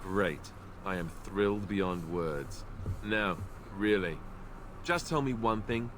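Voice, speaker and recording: Sarcastic low-voiced adventuring companion. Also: male voice, takes it slowly, fairly bright tone. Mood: sarcastic, nosy. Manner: sarcastic, dry, needling. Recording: game audio with clear background noise.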